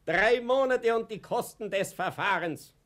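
An actor playing an elderly, cunning but naive father in a radio play, telling his story excitably. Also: high-pitched, a little slow. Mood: gleeful, triumphant. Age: older. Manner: excitable, storytelling, swinging from chuckling to hushed. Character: elderly father, gleefully cunning, naive, long-suffering underdog. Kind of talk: German-language radio play acting.

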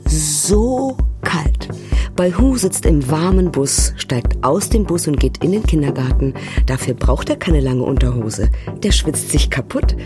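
A woman's voice: low voice, lively intonation, measured pace, music in the background. A woman playing an exasperated, assertive mother telling family stories in a conversational radio play monologue. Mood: exasperated, wry. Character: exasperated, assertive German mother recounting family quarrels in Beijing.

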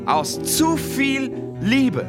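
An actor playing an impassioned, declamatory speaker posing bitter rhetorical questions in a radio drama. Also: male voice, extremely high pitch, sing-song, very slow, exaggerated voice. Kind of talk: theatrical musical radio drama monologue. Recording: voice under loud music. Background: loud music with guitar.

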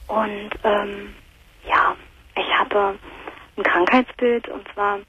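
A woman in her thirties from Berlin, calling in to a radio talk show and speaking softly and hesitantly, with many fillers. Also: medium pitch, flat delivery, takes it slowly, slight background noise. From Berlin, Germany. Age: 30s. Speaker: caller. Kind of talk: phone-in conversation. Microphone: telephone. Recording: muffled phone line.

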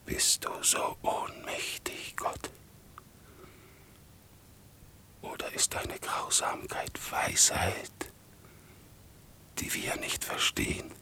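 An actor in a radio play as a sick, despairing man, slow and halting, bitterly defying God in a hoarse, very deep voice. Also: fairly even intonation, slight background noise, heavily acted. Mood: hushed despair. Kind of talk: radio play acting, solemn monologue. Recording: 1960s radio drama production.

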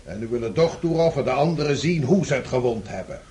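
An old Russian supreme commander in a radio drama. His voice is heavily husky and breathy. He speaks in deliberate, patient maxims, like a weary man admonishing his juniors. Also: medium pitch, expressive, mellow. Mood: weary, insistent.

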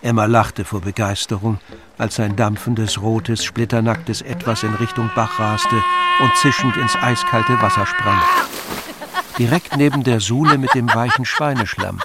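A man's voice: mid-range voice, huge pitch swings, a little slow, heavy background noise. A man narrating a scripted literary story as the storyteller of an audio drama. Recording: music under the voice.

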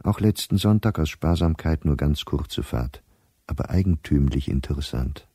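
An actor playing an embittered, middle-aged scholar reading his diary in a measured, dry, articulate radio drama delivery. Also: rumbling low, expressive, mellow, slightly rough, no echo, full character voice. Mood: observant.

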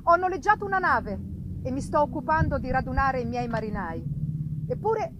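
A woman giving a dramatic literary reading, theatrical and emphatic, with deliberate phrasing and pauses. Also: high-pitched, sing-song, heavy background noise. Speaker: reader performing a literary audio reading. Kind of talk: dramatic literary reading of a gothic novel's letters, with live music. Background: live musical accompaniment.

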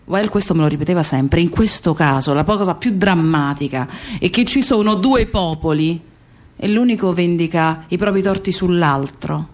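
An actress playing an anguished, reflective idealist appalled by bloodshed, in an emphatic, rhetorical radio theatre monologue. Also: female voice, lowish voice, normal speed, natural voice.

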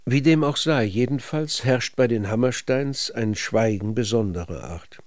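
A man narrating a literary radio documentary in a measured, sober manner. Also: mid-range voice, a little mellow, no echo, clean studio sound.

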